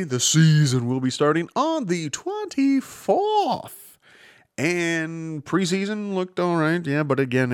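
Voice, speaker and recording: A man's voice: lowish voice, fairly bright tone, no room sound, studio quality. A man hosting a casual solo news podcast, rambling and swearing, poking fun at himself as he jumps from topic to topic. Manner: rambling, profane, self-mocking, jumps between topics. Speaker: podcast host.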